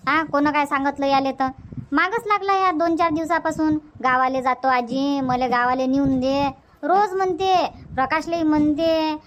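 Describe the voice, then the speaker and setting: High, dull-sounding grumbling cartoon grandma acted by a woman, Varhadi Marathi accent. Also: fairly bright tone. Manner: chatty, complaining, repetitive. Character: grumbling, talkative village grandmother. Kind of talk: cartoon comedy dubbing. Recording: dull, lo-fi, faint noise.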